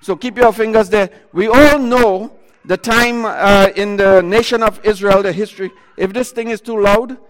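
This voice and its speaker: A man preaching a sermon into a microphone before an audience, with a loud voice and talk broken by fillers and restarts. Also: high voice, steady tone, normal speed, long reverb tail. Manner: loud voice, talks in bursts with many fillers and restarts. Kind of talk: sermon retelling a Bible story.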